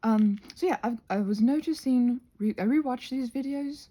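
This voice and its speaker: A woman with a soft, slightly husky voice rambles casually to viewers in an ASMR craft video, halting and self-conscious, drifting into asides. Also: on the high side. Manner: halting and self-conscious, trailing off mid-thought, many asides.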